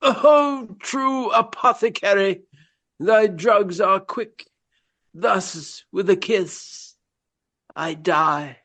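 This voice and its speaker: An actor playing a courtly, earnest Regency gentleman actor in a radio play, declaiming in a florid, formal and theatrical manner. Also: sits high, very slow, full character voice. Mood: dying, melodramatic.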